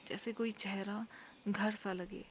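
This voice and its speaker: A woman performs a poetic spoken-word monologue in an intimate, hushed, often breathy voice, leaving long pauses between phrases. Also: medium pitch, steady tone, a little hiss or hum.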